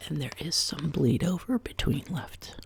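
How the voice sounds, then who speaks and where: A woman hosting a soft-spoken ASMR chat, sick and sounding lower than usual, drifting between quiet speech and whispers in her home studio. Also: lively intonation, moderate pace, vocal fry. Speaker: ASMR channel host. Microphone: binaural desk microphone.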